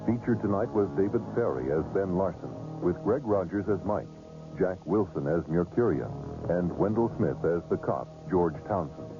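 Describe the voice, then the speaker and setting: A man working as a radio announcer, reading scripted credits in a formal, clearly phrased way. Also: sits low, lively intonation, normal speed. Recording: dull radio recording over clear music. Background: music.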